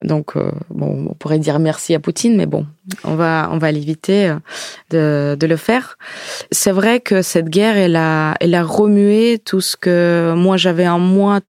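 A woman's voice: fairly low pitch, steady tone, a little slow, vocal fry, darkish tone, no echo, studio quality. A woman from Ukraine giving a personal account in conversation, reflective and often searching for words. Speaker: podcast guest, diarist.

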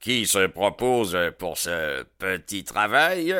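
A man reading aloud, voicing an authoritative gang boss who gives orders in a commanding, smooth-talking way. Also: sits low, big pitch swings, takes it slowly, sharp tone, no room sound, clean studio sound, full character voice.

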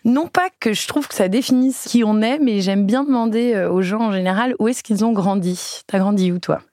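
A woman's voice: mid-range voice, normal speed, a little mellow, no echo, clean studio sound. A woman hosting a podcast, chatting and asking friendly, encouraging questions. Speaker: podcast host. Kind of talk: friendly interview.

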